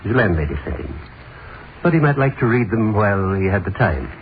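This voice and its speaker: Brief: an easygoing old-radio warden, low, lively voice, tinny broadcast. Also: male voice, fairly quick, slight background noise. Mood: chatty. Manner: chatty, matter-of-fact. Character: friendly middle-aged male prison warden. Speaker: prison warden.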